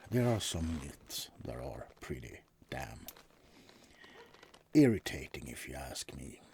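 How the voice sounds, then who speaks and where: A man playing a suave, teasing vampire in a soft, often whispered bedside ASMR roleplay, murmuring with dramatic pauses. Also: medium pitch, husky.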